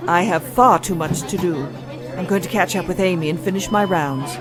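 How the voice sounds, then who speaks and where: A woman's voice: on the low side, normal speed, over music, crowd noise, lightly acted. A woman playing a principled, no-nonsense doctor in an audio drama, blunt and sarcastic. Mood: brisk, suspicious.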